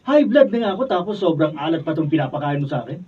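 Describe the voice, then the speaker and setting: A hot-tempered Filipino husband, wide swings. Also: male voice, on the high side, very animated, racing, slightly rough. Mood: furious. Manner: snapping, scolding outbursts. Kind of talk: Filipino radio drama acting. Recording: distant mic, dull faintly noisy sound.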